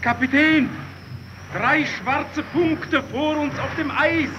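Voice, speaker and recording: Eager ship's sailor, very high and insistent, measured pace, noisy vintage radio play. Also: male voice. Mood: excited, insistent. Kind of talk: German radio play acting. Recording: muffled, noisy old radio recording.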